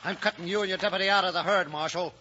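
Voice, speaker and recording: A man's voice: high voice, steady tone, rapid-fire, pushed and bright. An actor playing a sly, surly outlaw gunman in an old radio western, with a menacing drawl. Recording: muffled old radio recording.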